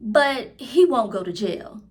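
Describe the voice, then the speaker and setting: A woman's voice: fairly high pitch, animated, slow, breathy edge, full character voice. A woman reading the part of a serious, matter-of-fact father in a storybook.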